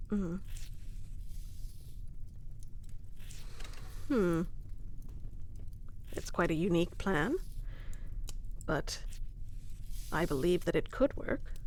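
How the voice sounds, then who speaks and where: Woman doing a proud fantasy queen, protective and wry, formal wording, mid pitched, close intimate roleplay audio. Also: a little hiss or hum. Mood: thoughtful, measured. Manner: formal, wry, commanding diction.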